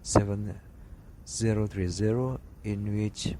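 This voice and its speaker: A man reads technical study notes aloud, slowly and haltingly, breaking off and restarting words. His voice sits fairly low. A clear hiss of noise runs under the recording. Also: steady tone, dark tone. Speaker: aviation instructor. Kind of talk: reading study material aloud.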